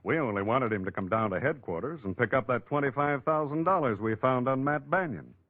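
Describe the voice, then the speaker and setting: An actor playing a matter-of-fact homicide lieutenant in a 1940s American radio crime drama, with clipped, slangy tough-cop talk and an American accent. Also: male voice, medium pitch, expressive, a little fast, dry recording, lightly acted. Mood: explaining, puzzled. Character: beleaguered, matter-of-fact police homicide lieutenant briefing reporters. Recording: thin, phone-like old radio recording.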